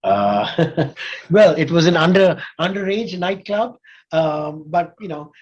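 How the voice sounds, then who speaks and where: A man's voice: fairly high pitch, expressive, slow, lo-fi sound. A man in his forties from India, a company CEO, talking in a casual interview with many fillers and restarts. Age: 40s.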